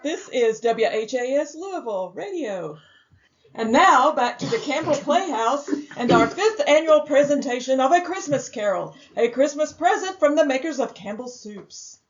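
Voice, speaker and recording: A woman's voice: high voice, expressive, normal speed. A woman reading scripted vintage-style radio announcements between acts of a drama. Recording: dull lo-fi audio.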